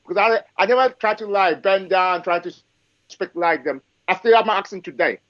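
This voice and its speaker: Man, forties, from Africa, high voice, repeats points and keeps asking "okay?", dull recording. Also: a little fast, fairly bright tone, no room sound. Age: 40s. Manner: emphatic, repetitive, rhetorical checks like okay and yes. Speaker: guest speaker. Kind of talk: live discussion.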